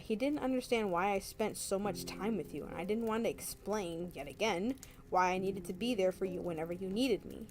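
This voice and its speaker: An earnest, tender-hearted young man as a woman reads him pours out his gratitude and love in a romance novel. The delivery is heartfelt, and music plays clearly behind the words. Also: medium pitch, close to an everyday voice. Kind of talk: romance novel read aloud.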